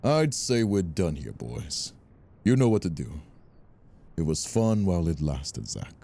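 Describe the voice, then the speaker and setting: A man's voice: deep voice, big pitch swings, measured pace, creaky, mellow, exaggerated voice. A man playing a bitter, mocking mob boss in an audio roleplay drama, taunting an old rival with contempt. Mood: cold menace.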